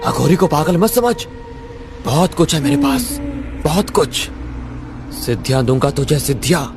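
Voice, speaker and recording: A man's voice: fairly high pitch, sing-song, normal speed. A man playing a boastful, menacing occult ascetic in a horror cartoon story, theatrically repeating key words with relish. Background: loud background music.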